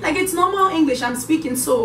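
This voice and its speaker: A young woman from Cameroon with a Cameroonian accent, co-hosting a casual vlog chat and addressing viewers in an animated way. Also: sits high, fast, a little hiss or hum. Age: young adult. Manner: animated, acts out quoted voices. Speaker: vlog co-host. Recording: echoey room, mic at a distance.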